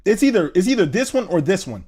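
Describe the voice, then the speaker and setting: A young man in his twenties from Indiana, chatting casually on a live stream, loose and slangy, reading chat aloud and thinking out loud. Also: high-pitched, very animated, fast talker, breathy edge. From Indiana, USA. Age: 20s. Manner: slangy, loose, says "bro" a lot.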